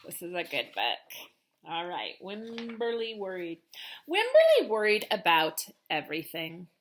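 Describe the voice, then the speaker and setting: A woman teacher reading a children's storybook aloud with expressive, emphatic storytelling. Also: fairly low pitch, a little slow, a little hiss or hum.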